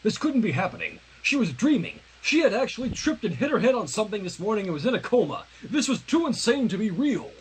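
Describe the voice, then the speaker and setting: A man's voice: high voice, quick pace, hardly any pauses, a little hiss or hum, poor audio. A man reading fanfiction aloud on a livestream, narrating theatrically with joking asides. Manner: theatrical, animated.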